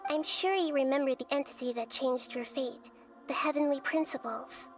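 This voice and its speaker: A woman voicing a gentle, wise, childlike goddess in a video game, speaking in a soft, measured, articulate way. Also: high-pitched, fairly even intonation, faint music behind, natural voice. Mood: composed, explanatory. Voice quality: soft, youthful.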